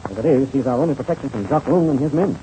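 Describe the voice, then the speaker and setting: An actor playing a confident, decisive American pilot and senior adventurer in a hearty 1930s radio serial style. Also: male voice, medium pitch, racing, dark tone, lightly acted, poor audio. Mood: resolute. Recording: faintly hissy old radio recording.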